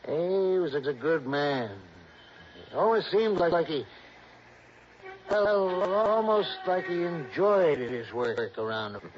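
Fire chief, American accent, deferential, hesitant, raised pitch, radio drama, dull noisy vintage recording. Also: male voice, lively intonation, slow. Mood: puzzled, regretful. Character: respectful, plain-spoken male fire chief, puzzled and regretful. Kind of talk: old-time radio drama acting.

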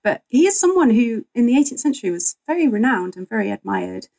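A woman's voice: a little high, big pitch swings, quick pace, creaky, mellow. A woman talking conversationally as a guest historian, telling stories in an interview.

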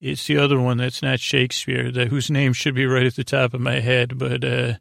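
A man's voice: mid-range voice, monotone, creaky, fairly bright tone, no room sound, clean studio sound. A man hosting a sleep podcast in a rambling monologue, stammering and interrupting himself with self-deprecating, apologetic asides. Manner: meandering, tangential, self-interrupting; stammering, halting, trips over words.

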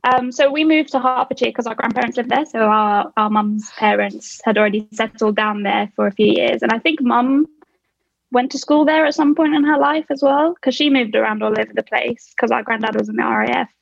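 A woman's voice: fairly high pitch, fairly even intonation, fairly quick, gravelly, dry recording. A young woman guest in a casual chat, wry and a little rambling. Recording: dry muffled lo-fi.

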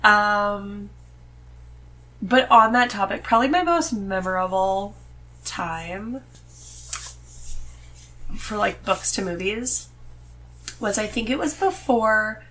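A woman's voice: mid-range voice, lively intonation, slow, long pauses, pushed and bright, poor audio. A woman as a podcast guest, chatting casually and telling her views in an emphatic, informal way. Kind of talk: casual conversational chat.

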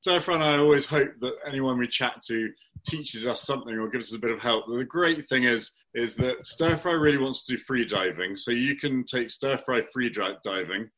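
Bright-voiced, teasing male host. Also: mid-range voice, normal speed, low-quality recording. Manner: upbeat and teasing, laughs often. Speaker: podcast host. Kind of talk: casual chat.

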